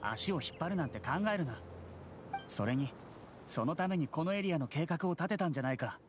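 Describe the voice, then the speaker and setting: A man's voice: on the high side, animated, brisk, slight background noise, lightly acted. A man playing a brainy, reassuring young adult brother in a Japanese anime game.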